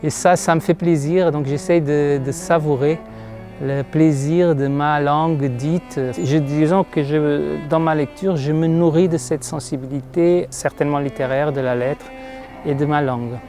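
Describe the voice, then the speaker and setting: A man's voice: a little high, fairly even intonation, a little slow, dark tone, soft background music. A man whose first language is Portuguese, speaking in an interview about reading a letter on stage, hesitant and searching for words.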